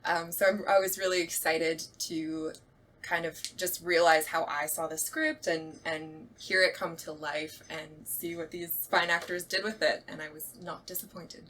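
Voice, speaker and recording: A woman's voice: low voice, little pitch movement, a little slow, fairly bright tone, slight background noise, low-quality recording. A woman in a casual chat as a director, explaining her thoughts in a reflective way. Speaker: radio play director and actress.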